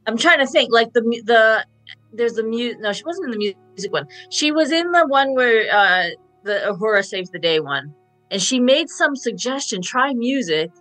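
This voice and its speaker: A woman talks casually as a roundtable panelist. Her voice is bright and forward. There is faint music underneath. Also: on the high side, animated, moderate pace, low-quality recording.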